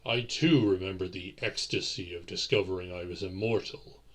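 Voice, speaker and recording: A man's voice: low-pitched, moderate pace, breathy edge. A man playing a courteous, devoted vampire boyfriend in a romantic audio roleplay, with formal, old-fashioned phrasing. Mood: solemn, courteous.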